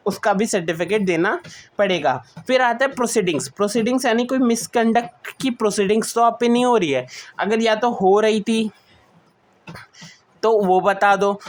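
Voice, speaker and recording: A woman's voice: mid-range voice, a little hiss or hum. A woman with an Indian accent giving an explanatory lecture, mixing in English terms and rephrasing each point step by step in a didactic way. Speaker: teacher.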